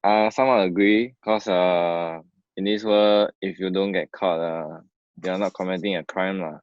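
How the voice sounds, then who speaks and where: Deep singaporean guy in his 20s joking around, dry dull lo-fi audio. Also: normal speed, no echo. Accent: Singaporean English. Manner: joking, relaxed, ends lines with lah. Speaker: panel guest. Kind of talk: casual group chat.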